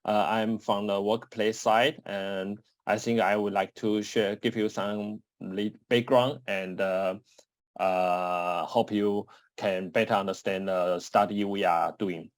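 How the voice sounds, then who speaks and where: Halting man from Taiwan presenting slides. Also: on the low side, fairly even intonation, no room sound, clean studio sound. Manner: halting, many uh fillers and restarts. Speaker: conference presenter. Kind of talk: conference talk over slides. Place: home office or desk, indoors. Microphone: webcam on a video call.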